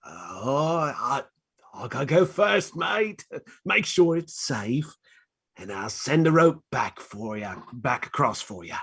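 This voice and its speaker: A man playing a rough, murderous street criminal in a stage play reading, husky, speaking clipped working-class slang. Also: medium pitch, big pitch swings, takes it slowly, fairly bright tone, full character voice. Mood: falsely soothing.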